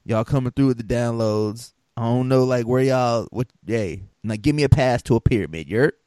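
A man's voice: medium pitch, a little fast, dark tone, clean tone, no echo. A man co-hosting a podcast in casual chat, telling stories in loose slang with lots of fillers. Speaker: podcast co-host.

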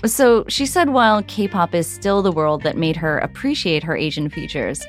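A woman's voice: lowish voice, moderate pace, faint music behind. Curious woman hosting a podcast. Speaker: podcast host.

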